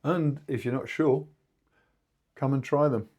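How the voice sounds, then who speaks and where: A man co-hosting a casual business discussion as an expert, speaking in informal, halting phrases. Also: medium pitch, steady tone, a little mellow. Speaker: podcast co-host and two-way radio expert.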